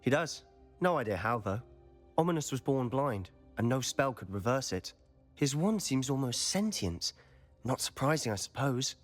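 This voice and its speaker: An actor playing a confident, mischievous and secretive teenage male wizard student in a video game, speaking in a measured, confiding manner. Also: medium pitch, sing-song, soft background music. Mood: confiding, earnest. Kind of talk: video game dialogue acting.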